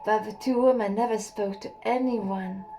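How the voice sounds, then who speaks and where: A young woman reading a literary story aloud in a slow, hushed, measured way, with an occasional slip. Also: mid-range voice, fairly even intonation, soft background music. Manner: slow, hushed and measured, with a few slips and laughs. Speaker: audiobook reader.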